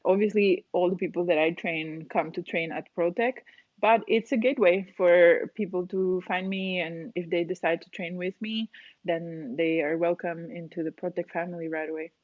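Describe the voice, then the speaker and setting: A woman's voice: fairly low pitch, fairly even intonation, moderate pace, no echo. Explanatory woman in her forties, lo-fi. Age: 40s. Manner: chatty, explanatory, laughs often. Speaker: guest, cave diving instructor. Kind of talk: casual interview talk.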